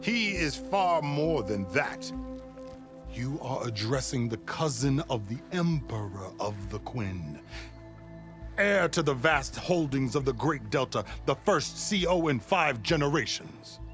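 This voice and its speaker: An actor playing a formal, reverent overseer and advisor to a ruler in a video game, speaking ceremoniously. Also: male voice, medium pitch, animated, a little slow, over music, lightly acted. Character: solemn, devout male overseer and deferential advisor to ruler. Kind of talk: dramatic video game acting.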